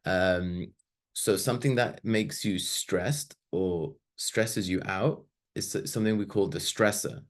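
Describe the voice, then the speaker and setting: A man's voice: sits low, slow pace. A man, giving a casual, chatty webinar talk with loose asides and fillers. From Sweden. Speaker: webinar presenter.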